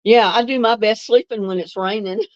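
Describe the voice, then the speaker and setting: A woman's voice: mid-range voice, fairly even intonation, normal speed, no room sound. An older woman from North Carolina, chatting casually on a livestream and reading viewer comments in a homey way, laughing often. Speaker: livestream host. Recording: dull, lo-fi dry sound.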